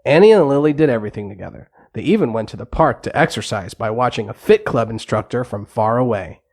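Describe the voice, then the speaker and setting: A man's voice: mid-range voice, animated, fast, darkish tone. Male narrator for a children's audio storybook, mid-range voice, scripted bedtime tale delivery.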